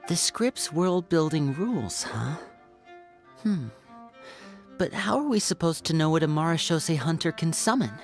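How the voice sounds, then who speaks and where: A woman voicing a shrewd, composed youthful magician in a video game, with a suave, deliberate manner. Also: low-pitched, expressive, faint music behind. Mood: puzzled, musing.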